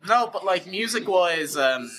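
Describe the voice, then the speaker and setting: A man from India who founded a band, telling its story in a halting, filler-heavy way. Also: high-pitched, lively intonation, poor audio. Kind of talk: casual storytelling. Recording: crowd chatter behind.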